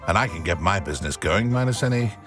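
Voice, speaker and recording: An actor playing a scheming, smug wizard shopkeeper in a video game, speaking in a sly, pompous, theatrical way. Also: male voice, low-pitched, expressive, quick pace, music in the background. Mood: sly, persuasive. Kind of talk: video game character acting.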